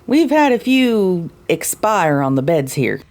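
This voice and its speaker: A woman playing a brisk, teasing, motherly flophouse mare in an audio drama, folksy and matter-of-fact. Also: lowish voice, very animated, darkish tone, full character voice.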